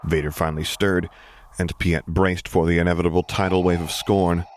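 A man reading a story aloud as an expressive audiobook narrator. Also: deep voice, moderate pace, a little hiss or hum.

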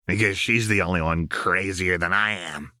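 A man's voice: low voice, moderate pace, sharp tone, dry recording, studio quality. A man voicing a manic, gleefully violent young woman crime baron in an audiobook, with a brash, taunting delivery.

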